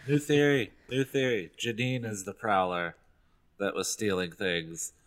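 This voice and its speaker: Male podcast reader with a low voice, casual and playful, mixing book narration with asides. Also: steady tone, unhurried, fairly bright tone. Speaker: podcast co-host. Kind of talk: reading a novel aloud with joking asides.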